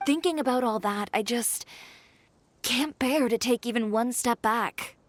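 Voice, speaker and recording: A woman's voice: a little high, a little slow, dry recording. A woman playing a blunt, fiercely determined young female fashion designer in a video game, composed, dry and self-assured. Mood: reflective. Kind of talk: video game character acting. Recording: game music behind voice. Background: game music.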